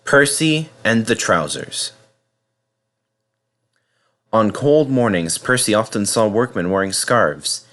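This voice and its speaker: Animated male storybook narrator. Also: on the low side, takes it slowly, long pauses. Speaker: storybook reader.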